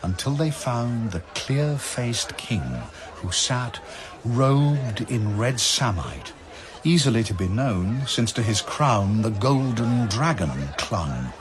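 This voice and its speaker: Deep, slow, dramatic male narrator. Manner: grand, theatrical storytelling. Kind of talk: dramatic scripted narration of epic verse. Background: music.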